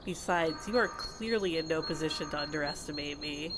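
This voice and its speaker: A woman playing a possessive, condescending fantasy healer in an ASMR audio roleplay, with a measured, intimate, teasing and reproachful delivery. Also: sits low, very noisy, lightly acted. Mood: reproachful, haughty. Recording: insect and bird ambience behind voice. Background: outdoor nature ambience with crickets and birds.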